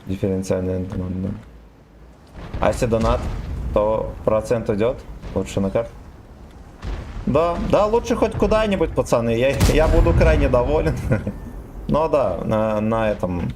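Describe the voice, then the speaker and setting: A man's voice: medium pitch, expressive, normal speed, pauses often, background noise. A young man streaming a tank game, joking with chat and swearing freely. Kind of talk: casual game stream chatter.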